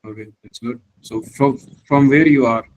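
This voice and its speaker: A man's voice: medium pitch, moderate pace, a little mellow, slight background noise, poor audio. A man moderating a workshop, quizzing attendees with direct questions.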